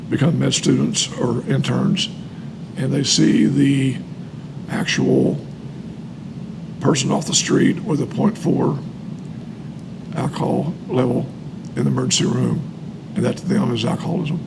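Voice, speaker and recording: An older man giving casual, earnest explanations with anecdotes, often pausing mid-sentence. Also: animated. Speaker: speaker on alcoholism recovery, retired medical worker. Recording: clear background noise with low rumble.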